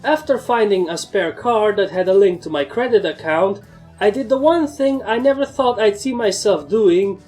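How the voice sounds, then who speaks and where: A woman's voice: mid-range voice, mellow. A woman reading first-person fan fiction as a scripted story narrator.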